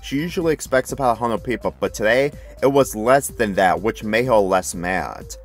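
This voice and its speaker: A man with a fairly low voice reads a story aloud as narrator. His scripted narration plays over background music. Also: normal speed. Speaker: fan fiction reader. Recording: music playing behind the voice.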